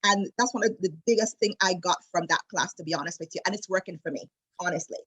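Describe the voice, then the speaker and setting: A woman in her late forties with a Jamaican accent, a wedding travel specialist chatting as an interview guest in a lively storytelling way, with many fillers and restarts. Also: lowish voice, fast, slightly rough, low-quality recording. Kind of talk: casual interview chat.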